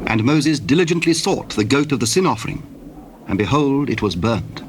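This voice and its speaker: A man narrating scripture from a script in a formal, solemn and deliberate manner. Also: mid-range voice, expressive, noisy background.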